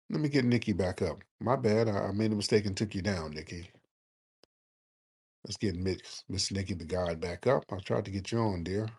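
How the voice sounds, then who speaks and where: A man's voice: sits low, slightly rough. A man hosting a live call-in show, talking bluntly and challenging his callers. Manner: blunt, challenging, animated emphasis. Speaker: host taking callers.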